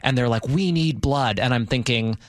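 A man's voice: mid-range voice, normal speed, no echo, clean studio sound. A man hosting a live radio news discussion, speaking in an earnest, conversational way.

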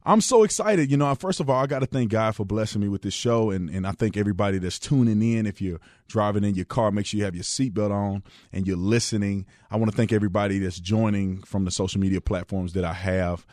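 A man in his thirties from Texas, hosting his own radio show in a relaxed, chatty storytelling way with frequent restarts. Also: on the low side, fast, hardly any pauses, dry recording, studio quality. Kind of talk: casual radio monologue.

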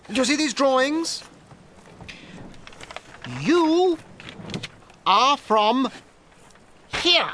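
A man acting a cold, condescending slave-trading ship captain in a radio drama, talking with slow emphasis. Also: very high voice, bright, forceful tone, slight background noise, full character voice. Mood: haughty, patronizing.

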